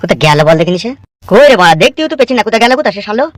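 A man's voice: sits high, very animated, racing, dry recording, clean studio sound. A man voicing brash, lecturing village men in a comedy cartoon, with a blustery manner. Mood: blustering, angry. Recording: music behind the voice.

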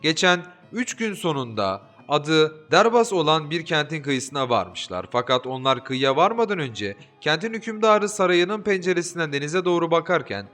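A man reads a folk tale aloud in a storyteller's way. His pitch sits on the higher side and swings widely from line to line. Soft music plays faintly behind him. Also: normal speed, few pauses. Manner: lively, expressive reading with voiced dialogue. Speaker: audiobook narrator. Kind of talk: storybook narration with character voices.

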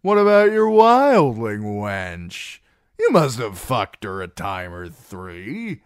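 Guy doing a loud drunk cocky singer in an audiobook, slow swaggering delivery, kinda mocking. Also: on the low side, huge pitch swings, smooth, no room sound, clean studio sound, voice pushed up, full character voice. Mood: teasing. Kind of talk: audiobook character voice.

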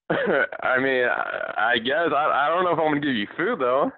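Over a thin, dry phone connection, an American man talks casually in a slightly high voice. He is polite and hesitant, feeling his way through the conversation. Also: lively intonation, a little slow, no echo. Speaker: online seller receiving a prank call. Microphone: telephone. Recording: tinny, band-limited phone line.

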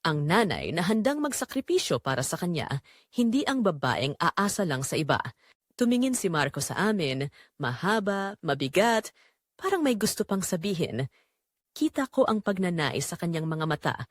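A woman with a Filipino accent reads dramatic first-person scripted narration as a storyteller, in an emotional, expressive way. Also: on the low side, moderate pace, no echo, clean studio sound.

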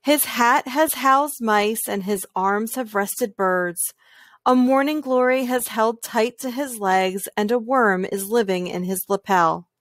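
A woman reading a children's picture book aloud in a calm, gentle, measured way, pausing between phrases. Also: a little high, dry recording, clean studio sound. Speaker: read-aloud storyteller.

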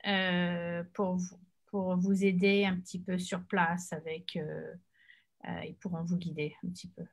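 A woman immigration lawyer advises a caller on a video call. She talks earnestly, pausing on fillers as she gathers her points. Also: on the low side, little pitch movement.

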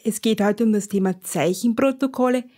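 A woman hosts a radio program with a mellow, warm voice of middling pitch. She reads her lines in a measured, scripted way, with clear, even phrasing. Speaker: radio show host.

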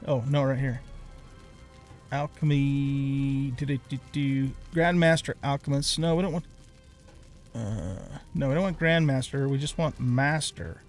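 A man's voice: mid-range voice, a little slow, faint music behind. A middle-aged man giving casual let's play commentary as a YouTube host, rambling and thinking aloud as he plays.

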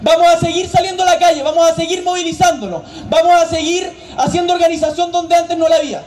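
A man's voice: very high voice, little pitch movement, fast talker. A young man giving a fiery protest speech from an outdoor stage into a handheld mic. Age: young adult. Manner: fiery, shouted oratory. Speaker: student leader. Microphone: handheld mic over a PA.

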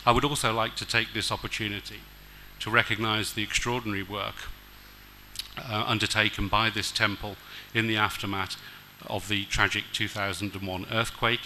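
A middle-aged man, giving a formal prepared speech as a guest diplomat from a podium mic on a temple stage, measured with the odd hesitation. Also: lowish voice, level tone, pushed and bright, a little hiss or hum. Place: decorated outdoor stage at a temple event. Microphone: stand mic at a podium.

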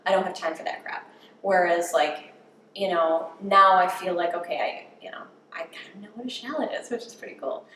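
A woman's voice: on the low side, moderate pace, fairly bright tone. A woman in her thirties talking candidly and self-deprecatingly about learning to cook, interviewed in her home kitchen on a distant camera mic. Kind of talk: casual interview while cooking. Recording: roomy kitchen sound with faint background hum.

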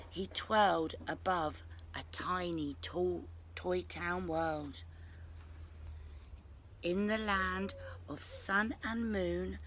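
A middle-aged woman reading a children's story aloud expressively, with little asides to young listeners. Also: medium pitch. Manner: slow, animated delivery with asides to young listeners. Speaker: teacher reading a picture book to a class. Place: outdoors in a leafy garden. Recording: noisy outdoor ambience.

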